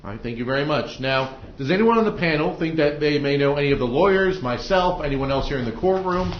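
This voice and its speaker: A man's voice: fairly high pitch, expressive, fast talker, a little hiss or hum. Casting: American man as judge, hesitant with many uhs, explaining procedure, echoey and muffled courtroom audio. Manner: hesitant, frequent ums and uhs. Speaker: judge presiding over jury selection. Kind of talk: semi-formal courtroom instructions to jurors. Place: indoor courtroom. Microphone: room microphones in the ceiling. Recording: dull, echoey courtroom microphone pickup.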